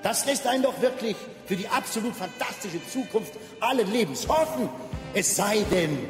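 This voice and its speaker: A man's voice: sits high, lively intonation, normal speed. An actor playing a grandiose, oily cabaret showman who effusively hosts the end of the universe in a radio play. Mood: gushing sentimental. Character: gushing, theatrical showman compering the end of the universe. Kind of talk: bombastic cabaret master-of-ceremonies patter. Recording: echoey hall reverb, music and audience behind.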